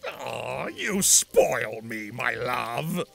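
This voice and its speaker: A woman's voice: a bass voice, animated, drawn-out words, vocal fry, over music, pitched below the performer's own voice, heavily acted. A woman voicing a lovestruck male centaur villain, gushing and flirting in a sweetly smitten drawl in a story reading. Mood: lovestruck, flirty. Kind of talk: dramatic fan fiction reading.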